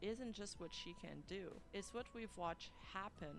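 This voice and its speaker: A woman's voice: mid-range voice, measured pace, background noise. A woman delivering a scripted video essay with emphatic, dramatic phrasing. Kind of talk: scripted narration. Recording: music behind the voice. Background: background music.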